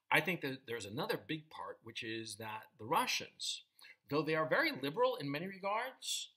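A man in his fifties from Chile, talking casually and emphatically to camera in a small room at home through a webcam mic. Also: fairly high pitch. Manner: animated, gesturing with hands, emphatic. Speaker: vlogger. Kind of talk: casual opinion monologue to camera.

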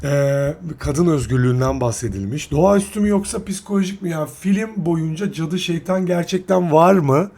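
Male film reviewer: slightly high, husky voice, chatty and slangy, stumbles and restarts his sentences. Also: warm tone. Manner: halting, self-correcting delivery. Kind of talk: casual, slangy film commentary.

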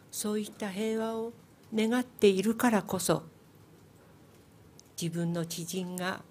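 An older woman addresses a press conference through a table microphone, earnest and polite, her sentences sometimes breaking off and restarting. Her voice sits low, and a faint hum of the room stays beneath it. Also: a little mellow. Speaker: mother speaking at a press conference. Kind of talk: formal press conference statement. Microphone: table microphone on a stand.

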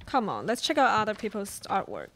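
Woman as nervous young student, earnest, pleading, animated intonation, radio play, clear background noise. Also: mid-range voice, fairly quick, heavily acted. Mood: modest. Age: young adult. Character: anxious, modest young college woman, easily spooked. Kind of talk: student live radio play, read from script. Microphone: stage microphone on stand. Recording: noisy live studio pickup with clicks.

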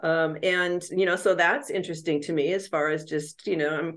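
A woman's voice: fairly low pitch, fairly even intonation, normal speed. A middle-aged woman historian chatting over a video call from a room at home, thoughtful and reflective, with many fillers. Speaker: history professor. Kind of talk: casual chat. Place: home room with a door and shelves.

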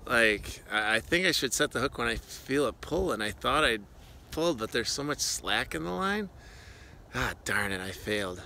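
A man in his forties filming himself ice fishing outdoors with a handheld phone, chatting in an excitable, self-deprecating way. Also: mid-range voice, moderate pace, gravelly, slight background noise. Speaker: fishing vlogger. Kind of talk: casual vlog narration. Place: outdoors on a frozen pond. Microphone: phone camera at arm's length.

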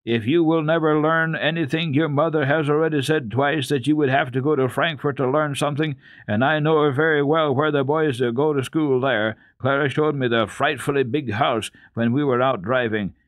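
A man's voice: a little high, normal speed, hardly any pauses, dry recording, pitched above the performer's own voice, natural voice. A man voicing an eager, bossy and determined little girl in a children's storybook, emphatic and earnest. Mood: threatening, earnest. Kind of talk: dramatic children's storybook reading.

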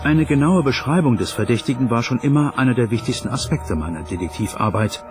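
A man's voice: mid-range voice, lively intonation, a little fast, lightly acted. Man voicing a male detective, music behind. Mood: measured, investigative. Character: male police detective investigating an old case. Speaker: German voice-over of a detective. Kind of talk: documentary voice-over dub. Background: background music.

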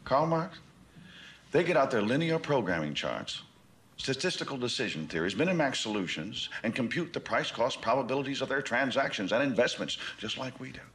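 An actor playing a domineering middle-aged American corporate tycoon, preaching in a grandiose theatrical film monologue with emphatic, deliberate phrasing. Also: male voice, mid-range voice, very animated, fairly bright tone, slight background noise. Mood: hushed persuasion.

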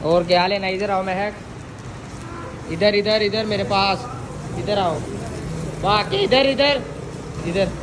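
A man's voice: high-pitched, slow, long pauses. A young man vlogging on a phone camera, narrating an outing to a bakery and coaxing children along with short repeated prompts. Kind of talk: casual vlog narration. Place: outdoors on a street and inside a small bakery. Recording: clear street and shop noise behind.

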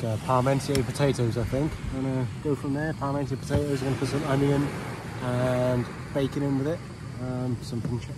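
Man, forties, mid-range voice, casual understated vlog chat, noisy open-air beach recording. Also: medium pitch. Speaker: fishing and cooking vlogger. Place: open shingle beach beside a shelter. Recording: noisy outdoor ambience, wind and waves.

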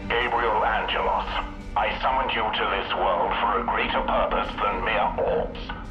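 An actor playing an authoritative military figure in a video game, speaking in a clipped, formal, stern way. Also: male voice, on the low side, fairly even intonation, a little slow, reverberant, lo-fi sound, over music, natural voice. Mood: stern, secretive. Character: authoritative, formal military man giving orders by comms. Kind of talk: dramatic video game acting.

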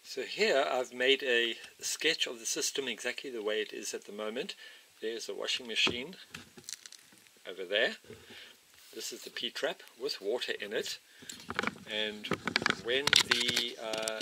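A man's voice: mid-range voice, a little slow, background noise. A man walking through a home plumbing repair in an outdoor workshop, explaining step by step with frequent ums and uhs. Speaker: DIY video maker. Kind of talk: hands-on home repair walkthrough. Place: outdoor workshop area by a house.

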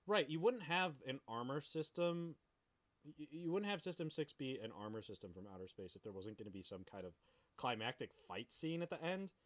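A man's voice: mid-range voice, very animated, fairly quick, fairly bright tone. A young adult man co-hosting a podcast in casual, crude, profane banter. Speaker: podcast co-host.

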